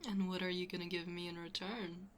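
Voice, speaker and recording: A woman playing a smitten young best friend in an intimate ASMR roleplay, speaking softly and hesitantly, often half-whispering. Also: fairly low pitch, level tone, a little fast, slight background noise, lightly acted. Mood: playful, happy. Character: smitten young best friend, shyly confessing, playfully teasing.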